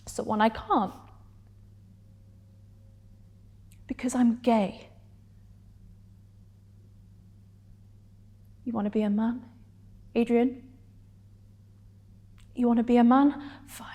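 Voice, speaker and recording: Actress playing wounded, defiant woman confronting a partner onstage. Lightly high, intense, halting, reverberant space. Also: steady tone, warm tone. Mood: pained, resolute. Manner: intense, halting, drops to breathy whispers. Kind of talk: dramatic stage monologue.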